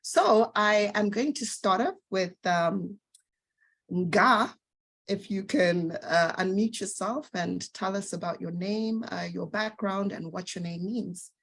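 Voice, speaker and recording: A woman facilitating an online workshop, talking in a measured, gentle way with frequent fillers. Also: mid-range voice, creaky.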